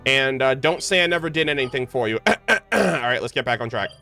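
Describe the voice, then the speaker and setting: A man's voice: mid-range voice, expressive, brisk, vocal fry. A man doing casual, rambling livestream game commentary, informal and stumbling a little when he reads aloud. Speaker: game streamer. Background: video game music.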